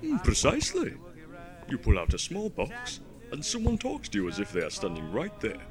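A man playing a wary, formal gentleman from the past in an audio drama. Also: a little high, huge pitch swings, faint music behind. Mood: uneasy wonder. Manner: formal, deliberate wording.

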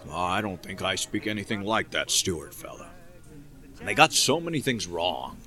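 A fussy, grumbling bandleader out of the past, played by a man in an audio play. The voice is low yet bright and forward, with lively inflection. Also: moderate pace, soft background music, full character voice. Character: self-critical, grumbling musician from the past. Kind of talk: audio drama acting.

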